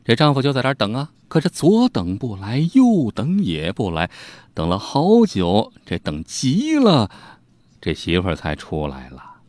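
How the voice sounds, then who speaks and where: A man's voice: on the low side, huge pitch swings, measured pace, a little mellow, no room sound. A man telling an old crime tale in a dramatic, conversational way, with theatrical suspense and folksy asides. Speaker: storyteller.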